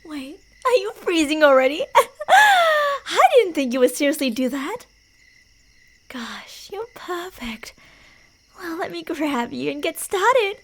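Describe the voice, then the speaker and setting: A woman playing a delusional, playfully menacing yandere killer in an ASMR roleplay, teasing in a sing-song way and slipping into breathy whispers. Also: very high voice, very animated, slow pace, full character voice. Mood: delighted obsession.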